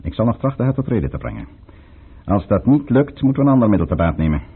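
An actor playing a cold, scheming Russian count in a Dutch radio play, theatrical and insinuating. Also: male voice, fairly low pitch, very animated, quick pace, slightly rough, full character voice. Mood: cold, plotting. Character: scheming Russian count, commanding, cold, silky courtly conspirator. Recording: muffled, band-limited old radio recording.

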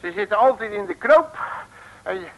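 Boastful folksy detective, swooping pitch, creaky, vintage radio play. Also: male voice, lively intonation, moderate pace, dull audio. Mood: cocky. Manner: slangy, boastful, homespun. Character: cocky, folksy male detective, fond of his uncle's sayings. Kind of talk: 1950s-60s Dutch radio drama acting. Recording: noisy old radio recording.

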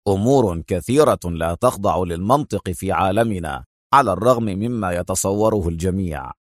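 A commanding, self-assured chief from a spy story , put on by a male narrator. He speaks in a lowered pitch with firm, curt authority. The recording is dry and close. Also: lively intonation, moderate pace, no room sound. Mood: intent.

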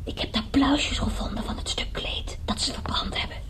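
An actress playing a nervous, urgent woman ally in a radio detective play, speaking in a hushed way. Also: sits high, fairly even intonation, normal speed, whispered. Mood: hushed, secretive. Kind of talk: radio drama acting.

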